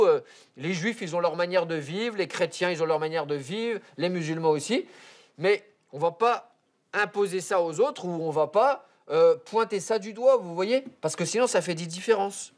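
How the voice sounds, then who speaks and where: A man teaching a class, explaining firmly and checking that pupils understand. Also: high-pitched. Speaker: school teacher. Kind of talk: addressing a class.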